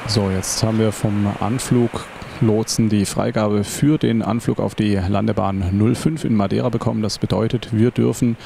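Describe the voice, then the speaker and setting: A male airline captain in his forties talks through the flight in a calm, measured voice-over. His voice sits low with a gravelly edge, and he explains each step plainly and thoughtfully. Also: slight background noise.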